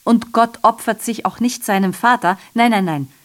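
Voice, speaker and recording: A woman's voice: a little high, normal speed, natural voice. Actress as a sardonic, aggrieved woman messenger. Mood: indignant, sardonic. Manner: rhetorical, self-correcting, digressive monologue. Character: sardonic, aggrieved female messenger reporting on killings. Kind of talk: radio drama monologue.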